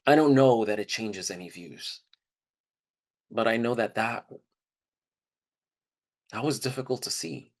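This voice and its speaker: A man's voice: mid-range voice, measured pace, long pauses. A man delivering an informal, reflective first-person monologue in a conversational storytelling style. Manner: informal, reflective, acts out quoted dialogue. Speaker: performer of a dramatized first-person narrative.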